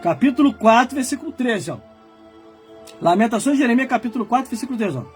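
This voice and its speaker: A man in his forties preaching with fire, his voice sitting very high as he climbs into shouted warnings and then sinks to low, muttered asides. Soft music plays faintly behind him. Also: lively intonation, quick pace. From Brazil. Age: 40s. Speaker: pastor. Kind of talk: fiery Pentecostal-style sermon.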